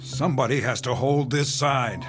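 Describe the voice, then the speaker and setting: An actor in a radio drama playing a weary, commanding army captain, intense and authoritative. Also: male voice, mid-range voice, normal speed, close to an everyday voice. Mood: grim, intense. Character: weary, commanding army captain, grimly brooding over casualties. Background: dramatic music.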